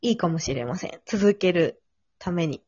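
A woman's voice: on the low side, animated, moderate pace, darkish tone, breathy edge, no echo. A woman hosting a casual conversation, offering advice in polite, tentative, reflective phrasing. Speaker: podcast host. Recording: slightly dull lo-fi audio.

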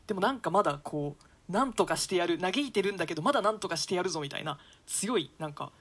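A woman's voice: low voice, fairly bright tone, breathy edge. A woman chatting casually as a member of a theatre company. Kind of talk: casual chat.

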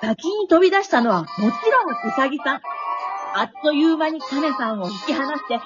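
A woman playing an excitable, wisecracking storyteller, narrating a comic radio drama like play-by-play with dramatic flair. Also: sits high, brisk, music in the background. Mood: excited, suspenseful. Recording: dull, lo-fi recording.

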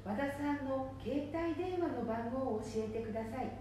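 In a very echoey room, a woman acts as a fake official on the phone for a radio play. Her high, mellow voice is polite and procedural, smoothly instructing. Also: moderate pace, lightly acted. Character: smooth-talking female scam caller posing as official. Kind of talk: amateur radio drama acting. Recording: echoey room with faint background noise.

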